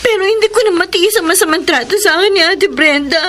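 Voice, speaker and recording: A woman's voice: extremely high pitch, fast, sharp tone, slight background noise. A woman playing a meek, aggrieved young wife in a radio drama, with a plaintive, pleading manner. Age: 20s. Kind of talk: Filipino radio drama acting and narration.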